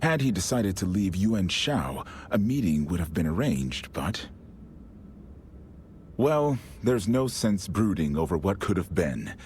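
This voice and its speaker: A deep male voice plays a devoted, calculating strategist in a game cutscene. He speaks formally and thoughtfully, with lively intonation over faint background noise. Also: measured pace. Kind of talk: dramatic video game cutscene acting.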